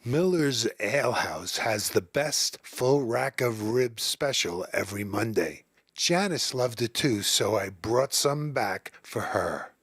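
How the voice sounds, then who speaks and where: Husky-voiced man narrating a trip. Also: mid-range voice, expressive, slow pace, fairly bright tone, no room sound, studio quality. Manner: chatty, homespun storytelling. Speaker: show host. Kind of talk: scripted travel narration. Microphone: voice-over.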